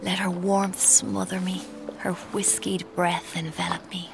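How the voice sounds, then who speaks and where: A cold young narrator, music behind. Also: female voice, on the low side, little pitch movement, gravelly. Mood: cold, intense. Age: 20s. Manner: clipped, deliberate, confessional. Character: cold young Irish woman confessing her crime. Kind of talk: scripted first-person drama narration.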